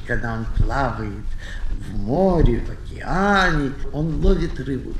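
A man giving an educational talk to children as an animal theatre guide, affectionate and fond of diminutives, on an old record. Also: a little high. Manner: affectionate, uses pet names and diminutives. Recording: echoey, noisy old flexi-disc recording. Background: animal sounds.

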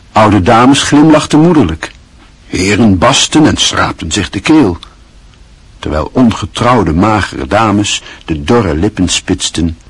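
A man narrating a radio play in a measured, expressive storytelling manner. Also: lowish voice, breathy edge.

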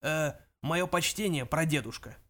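A man's voice: fairly high pitch, moderate pace, fairly bright tone, lightly acted. A man voicing a flustered, flippant young great-grandson, a galactic adventurer, with a hesitant, fidgety, pleading manner in an audiobook. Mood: sheepish.

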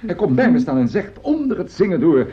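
A man's voice: sits high, sing-song, fairly quick. An actor in a radio play as a boastful, jovial, germ-shy opera singer who chats effusively and repeats his words. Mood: animated storytelling. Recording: dull, lo-fi old radio recording.

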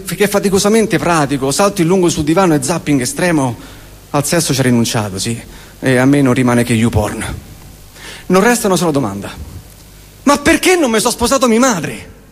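Roman man's stage monologue in a reverberant hall. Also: on the high side. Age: 40s. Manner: emphatic repetitions and deliberate pauses. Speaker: stage performer. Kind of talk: theatrical comic monologue. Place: live theatre performance in a hall. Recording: long hall reverb of a live venue.

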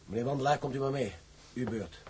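Quick, mellow officious usher played by a man. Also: mid-range voice, slight background noise, close to an everyday voice. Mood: formal, officious. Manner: formal, fussy politeness. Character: officious, polite exam usher. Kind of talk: Dutch radio drama acting.

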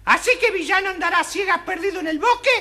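Casting: cheeky little dwarf jester for a children's tale, piercing and very high. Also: fast talker, bright, forceful tone. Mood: smug, amused. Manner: exclamatory, bossy, teasing. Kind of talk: children's audio story acting.

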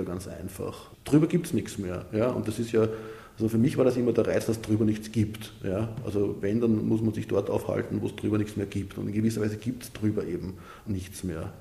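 A man's voice: on the low side, vocal fry, warm tone. A man with an Austrian accent giving wry, casual interview answers punctuated by short tag questions. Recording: roomy, echoing sound.

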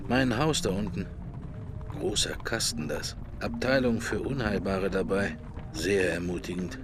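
A man's voice: deep voice, measured pace, over music. An actor playing a musing, wry middle-aged man in a German radio drama, speaking his thoughts in clipped, understated fragments. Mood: wry, reflective.

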